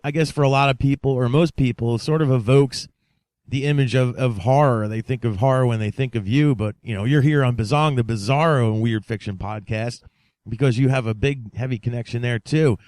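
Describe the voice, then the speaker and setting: A man's voice: medium pitch, a little fast, a little mellow, dry recording. A man hosting a podcast in an effusive, showman-like manner, speaking directly to his listeners. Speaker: podcast host. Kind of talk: enthusiastic podcast introduction and interview.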